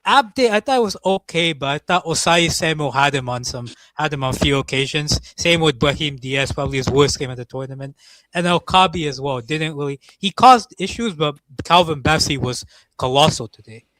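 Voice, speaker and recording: A man in casual football reaction chat, animated, often restarting and repeating his words. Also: a little high, moderate pace, no room sound, low-quality recording. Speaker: football show co-host.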